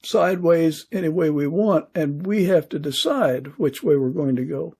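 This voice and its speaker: A man speaking as a podcast guest in casual, reflective conversation, thoughtful and a little halting. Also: on the high side, lively intonation, gravelly, a little mellow, breathy edge.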